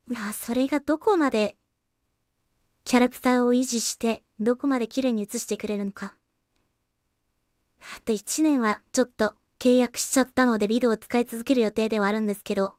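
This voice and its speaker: A woman with a slightly high voice gives a casual work-along commentary. She thinks aloud in an unhurried way, often trailing off into remarks meant for herself. The sound is fairly dry, with little room around the voice. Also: a little mellow, no echo. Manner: unhurried musing with trailing, self-directed remarks. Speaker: solo animation creator and streamer.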